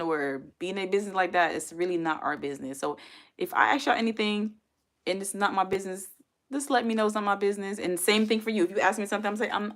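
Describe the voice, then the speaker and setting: Chatty woman in her forties, lowish voice. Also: fairly bright tone. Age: 40s. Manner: chatty, talks straight to chat, laughs often. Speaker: livestream host. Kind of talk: casual chat reading viewer comments.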